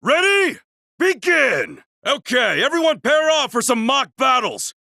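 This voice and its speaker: A forceful townsman from a video game, declaiming with drama. His voice is high and bright, and it swings widely in pitch. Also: very animated, measured pace, creaky, no echo, clean studio sound, heavily acted. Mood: barking, rousing.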